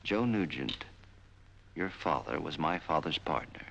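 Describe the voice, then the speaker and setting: A man's voice: a bass voice, expressive, measured pace, vocal fry, fairly bright tone, highs cut off, exaggerated voice. An actor playing a cold, commanding American man bent on revenge in a 1940s film noir. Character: vengeful, menacing man hunting his father's betrayer. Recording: noisy 1940s film soundtrack.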